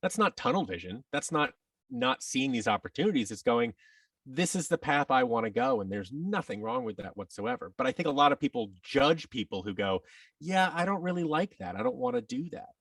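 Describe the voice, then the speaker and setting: A man telling his story casually as a podcast guest, reflective and halting, often acting out bits of quoted dialogue. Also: on the high side, big pitch swings, vocal fry, a bit bright, husky, dry recording, distant mic. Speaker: podcast guest, actor and audio drama producer.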